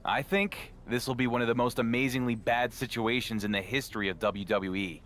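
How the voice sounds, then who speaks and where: A man's voice: mid-range voice, fairly even intonation, a little fast, fairly bright tone, slight background noise, natural voice. An actor playing a level-headed, skeptical champion wrestler in a video game cutscene, talking as a dry, incredulous straight man. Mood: incredulous, exasperated.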